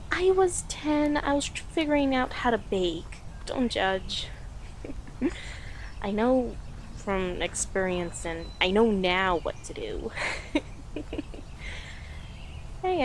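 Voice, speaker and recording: Playful, unhurried girlfriend acted by a woman, ambient noise behind. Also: a little high, animated. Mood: chatty, amused. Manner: teasing, giggly, conversational with pauses. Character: playful, affectionate young girlfriend on an autumn date. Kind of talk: intimate audio roleplay, one-sided conversation.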